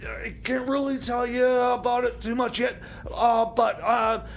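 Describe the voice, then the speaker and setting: A man playing an excitable, muddled, secretive time machine mechanic who stammers, rambles and repeats himself in comedy radio theater. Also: very high voice, unhurried, creaky, exaggerated voice. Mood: furtive, eager. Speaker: comedy sidekick on radio show. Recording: noisy community radio broadcast.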